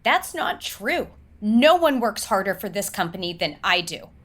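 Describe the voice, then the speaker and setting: An actress playing a proud, indignant corporate executive in an audio soap opera, speaking in a stern, haughty, confrontational way. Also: female voice, medium pitch, very animated, unhurried, a bit bright. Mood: angry, defensive. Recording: prominent dramatic music behind. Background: prominent music.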